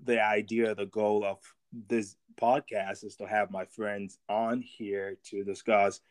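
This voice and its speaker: A young man hosting a casual podcast, talking in a relaxed, rambling way with lots of fillers and restarts. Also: on the low side, slow pace. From immigrant to America. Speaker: podcast host.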